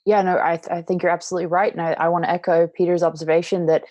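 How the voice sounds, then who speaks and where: Lower-voiced woman, dry close sound. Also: level tone, rapid-fire, darkish tone, no room sound. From United States. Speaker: panel co-host. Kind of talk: conversational political commentary.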